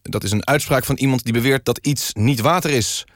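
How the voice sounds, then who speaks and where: Deadpan male radio presenter, close dry sound. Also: medium pitch, fairly quick, dry recording. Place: radio studio.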